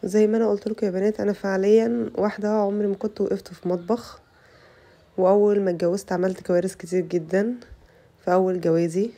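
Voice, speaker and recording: A young Egyptian woman with an Egyptian Arabic accent, her voice rough from a bad cold, chatting to her viewers through a casual cooking tutorial. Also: mid-range voice, fairly even intonation, fast talker, warm tone. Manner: chatty, addresses viewers as girls. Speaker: cooking channel host.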